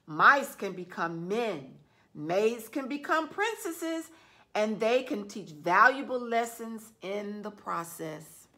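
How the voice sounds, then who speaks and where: A woman's voice: mid-range voice, sing-song, slow pace, bright, forceful tone, full character voice. A woman voicing a stern, judgmental sixth-grade teacher who lectures her class earnestly. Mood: earnest lecturing.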